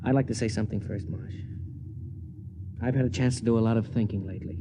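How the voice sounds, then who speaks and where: An actor playing an earnest, troubled rocket captain and husband in a 1950s radio drama, speaking with urgency. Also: male voice, fairly low pitch, quick pace, natural voice. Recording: dull, noisy 1950s radio recording.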